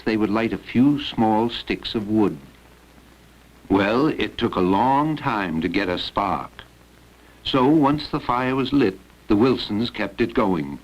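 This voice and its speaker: A man reading scripted narration for an educational film. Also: on the low side. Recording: dull lo-fi old educational film.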